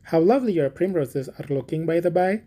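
A woman's voice: on the low side, animated, fairly quick, warm tone, breathy edge. A woman playing a pompous, smug miller. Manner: pompous and self-satisfied. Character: smug, selfish male miller preaching friendship. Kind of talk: storybook reading.

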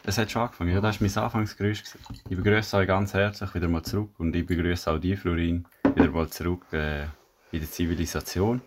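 A man's voice: low voice, fairly even intonation, quick pace, heavy background noise. A man with a Swiss German accent hosting a casual podcast, teasing and mixing in English slang. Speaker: podcast host. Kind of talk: casual chat.

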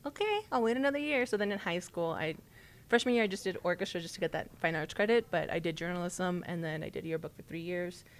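Chatty Texan woman, lowish voice. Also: fast talker. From South Texas, USA. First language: Spanish. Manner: chatty, storytelling, frequent "like" and laughs. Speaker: podcast guest, sports reporter. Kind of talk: casual interview chat.

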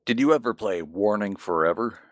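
A man's voice: lowish voice, lively intonation, brisk, dry recording, clean studio sound. A man co-hosting a podcast in casual chat about video games. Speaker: podcast co-host.

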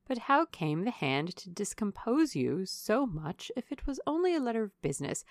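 A woman's voice: medium pitch, sing-song, moderate pace, no room sound, clean studio sound. A woman voicing a nosy, jolly older matron in a storybook reading, prying and exclaiming with swooping emphasis.

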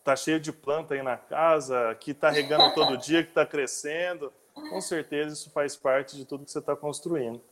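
Halting, slightly high-voiced male host. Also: steady tone, a loud crowd. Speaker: interview host. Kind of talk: casual interview chat.

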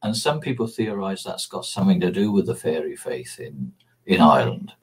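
A man with a lowish, rough-edged husky voice speaks as a guest in an informal discussion. He explains history in a hesitant, winding way, often reworking his phrases midway. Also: expressive, fairly quick, rough recording. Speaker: interview guest on magic and ancient religion.

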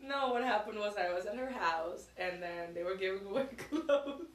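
A woman's voice: mid-range voice, animated, moderate pace, reverberant. A young woman guest on a podcast, giggly and hesitant as she tells a story. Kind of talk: casual chat.

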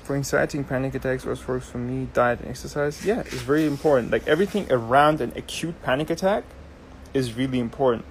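A man's voice: medium pitch, quick pace, a little hiss or hum. A young man from Germany, hosting a casual live Q&A and explaining things loosely with many ums and restarts. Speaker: host. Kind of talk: casual live Q&A, answering viewer questions.

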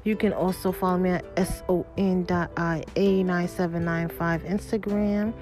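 A woman's voice: lowish voice, moderate pace, faint music behind. A woman hosting a podcast, telling a personal story in a casual, colloquial way and acting out what people said. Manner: animated retelling, acts out quoted dialogue; colloquial, informal grammar. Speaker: podcast host.

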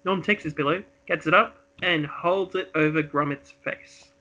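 A man's voice: fairly high pitch, normal speed, bright, forceful tone. A man co-hosting casually with an easygoing, jokey way of talking, reading from a script at times, on slightly lo-fi audio. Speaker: podcast co-host and script reader.

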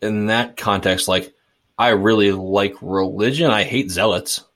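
A man's voice: fairly low pitch, fairly even intonation, a little slow, a bit bright. A man from suburban Boston, co-hosting a podcast, talking things through thoughtfully and with many restarts. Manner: thoughtful, hesitant, restarts often. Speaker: podcast co-host. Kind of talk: casual discussion.

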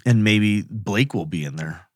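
Male podcast co-host. Somewhat low register, informal chatty delivery, dry close recording. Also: animated, a little slow, no echo. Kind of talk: casual true crime podcast chat.

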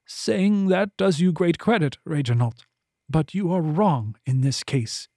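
A man putting on a lofty, authoritative nobleman with a formal, measured way of speaking, his voice pitched well above the narrator's own. It is recorded close and dry. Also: a little mellow, breathy edge, no room sound.

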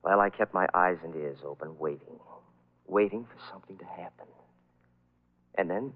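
A man's voice: deep voice, normal speed, slightly rough, phone-quality sound. An actor playing a bitter, self-pitying young man who narrates his own downfall in old-time radio thriller style. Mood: ominous, fearful. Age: young adult. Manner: brooding, dramatic, self-pitying. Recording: band-limited vintage radio recording.

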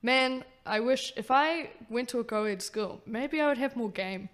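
A woman in her twenties as a podcast guest, giggly and playful, quick to agree. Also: fairly high pitch. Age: 20s. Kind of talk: casual podcast chat.

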